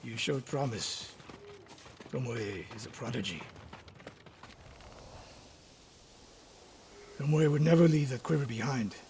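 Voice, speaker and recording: An actor playing a stern, proud older samurai archery master in a video game, speaking in curt, commanding phrases. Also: male voice, medium pitch, moderate pace, creaky, a little mellow, a little hiss or hum, lightly acted. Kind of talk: dramatic video game acting.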